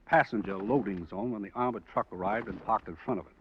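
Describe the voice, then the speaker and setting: An American actor playing a weary, practical police lieutenant in a 1950s radio drama, deliberate and matter-of-fact. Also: male voice, on the low side, close to an everyday voice. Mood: practical, brisk. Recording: muffled, faintly noisy old radio recording.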